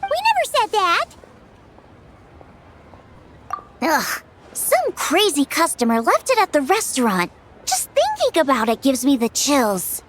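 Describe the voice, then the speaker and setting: A woman voicing a tiny, excitable, childlike girl companion in a video game, squeaky and dramatic. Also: moderate pace, noisy background. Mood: indignant.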